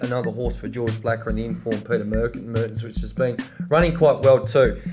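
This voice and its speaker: A man's voice: mid-range voice, steady tone, a little fast, music in the background. A man co-hosting a racing preview, giving tips in a conversational, enthusiastic way. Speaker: racing show co-host.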